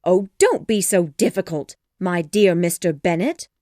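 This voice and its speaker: A woman voicing an excitable, easily flustered middle-aged wife and mother in a storybook, impatient and exclamatory. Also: fairly low pitch, a little slow, no echo, studio quality. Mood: coaxing, exasperated. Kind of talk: storybook dialogue acting.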